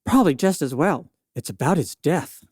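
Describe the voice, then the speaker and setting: A woman's voice: low-pitched, animated, moderate pace, darkish tone, husky, dry recording, clean studio sound. A woman playing a time-traveling news reporter in a radio play, speaking in a knowing, matter-of-fact, wry way.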